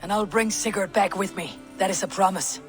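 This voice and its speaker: Actress as tough viking warrior woman, husky n measured, dry wit, game cutscene with music behind. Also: medium pitch, fairly even intonation, lightly acted. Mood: resolute. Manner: measured, declarative, wry. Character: battle-hardened adult female Viking warrior, steadfast and dry. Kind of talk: dramatic video game acting.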